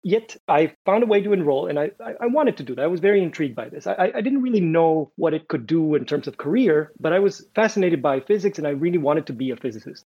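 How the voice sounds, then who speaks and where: A man's voice: on the high side, animated, fast talker, few pauses, dark tone, breathy edge, highs cut off. A man from Honduras, a physics professor, answering interview questions thoughtfully, often restarting his words.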